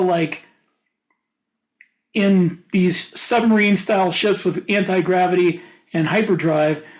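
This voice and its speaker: A man with a notably high voice talks casually as a podcast co-host. He gives firm opinions on what he has read. His phrases often halt and restart as he works out the thought. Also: poor audio. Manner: opinionated, halting mid-thought. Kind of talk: casual book discussion.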